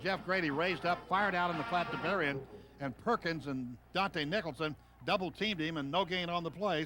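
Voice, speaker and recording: A man doing live radio play-by-play of a football game, calling plays in short, excitable phrases. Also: fairly high pitch. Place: stadium broadcast booth. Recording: noisy stadium radio broadcast tape. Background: loud stadium ambience.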